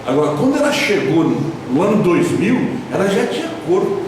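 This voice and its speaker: A Brazilian pastor with a southern Brazilian accent preaching a sermon in a church hall, with sudden loud emphasis and slowly drawn-out stresses. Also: male voice, sits high, big pitch swings, background noise. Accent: southern Brazilian Portuguese. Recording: echoey church hall from far off.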